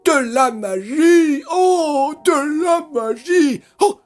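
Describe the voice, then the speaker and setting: A man voicing a giddy, childlike old man who babbles and sings in high-pitched, drawn-out exclamations. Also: expressive, faint music behind, exaggerated voice. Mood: giddy wonder. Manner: babbles repeated exclamations, drawn-out and sing-song.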